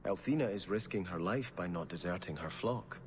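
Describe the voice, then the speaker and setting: An actor playing a devout exiled prince torn between faith and throne in a video game, speaking earnestly and in measured phrases. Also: male voice, low voice, background noise, lightly acted. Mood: earnest, conflicted.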